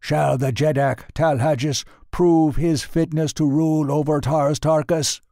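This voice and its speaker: A man's voice: fairly high pitch, steady tone, a little slow, mellow, no room sound, clean studio sound. A man voicing a stern old alien elder who speaks in a cold, hard voice. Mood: commanding.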